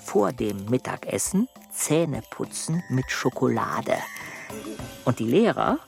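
Animated, creaky-voiced storyteller. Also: male voice, mid-range voice, takes it slowly, faint music behind, full character voice. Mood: alarmed, wry. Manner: expressive, dramatic storytelling. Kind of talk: scripted narration in a children's radio play.

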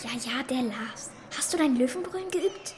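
A woman's voice: high-pitched, expressive, moderate pace, a little mellow, slight background noise. A female performer playing a confident, encouraging schoolchild in a children's radio play. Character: plucky, confident schoolchild cheering on scared friends.

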